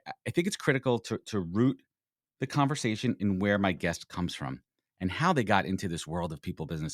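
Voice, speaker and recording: A man with a Brooklyn accent hosting a podcast, energetic, blunt and joking. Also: sits low, no room sound, studio quality. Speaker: podcast host. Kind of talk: casual interview chat.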